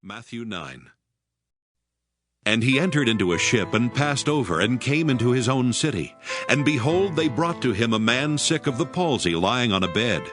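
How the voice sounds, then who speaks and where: A man's voice: mid-range voice, animated, moderate pace, a bit bright. A man reading scripture as the narrator of a dramatized recording. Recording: music behind the voice. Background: music.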